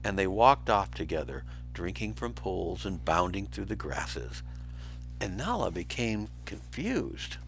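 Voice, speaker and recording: A man reading a children's story aloud in an expressive, deliberate, dramatic way, as a teacher does for pupils. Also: deep voice, vocal fry, faint music behind, rough recording.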